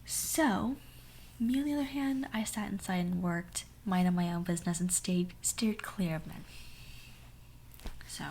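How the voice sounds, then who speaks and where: Young woman whispering a story, hoarse and sassy, bit of hiss in the background. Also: fairly low pitch, a little slow, a little mellow. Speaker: ASMR storyteller. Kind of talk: whispered ASMR story time.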